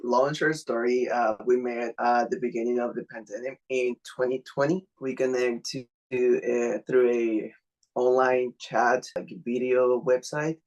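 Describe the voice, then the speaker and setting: A man's voice: medium pitch, fairly even intonation, low-quality recording. Mexican-accented man, earnest guest. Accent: Mexican Spanish. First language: Spanish. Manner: earnest, halting non-native phrasing. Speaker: interview guest. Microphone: video call.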